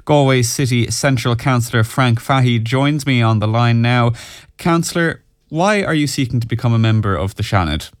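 A man presenting the morning news on regional radio, reading headlines in a measured, formal way and then questioning a guest. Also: medium pitch, no echo, studio quality. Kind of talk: news bulletin reading and phone interview. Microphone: studio microphone.

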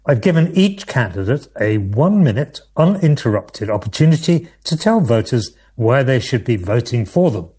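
Give me a male radio host with a husky, animated voice reading out political questions. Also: mid-range voice. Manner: measured, lively intonation with occasional hesitations.

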